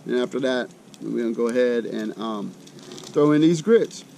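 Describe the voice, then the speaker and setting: A man's voice: normal speed, vocal fry. A middle-aged American man chatting casually in a folksy, colloquial way, thinking aloud as he demonstrates camp cooking. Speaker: host of an outdoor cooking channel. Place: outdoors. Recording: outdoor recording with loud ambient rumble.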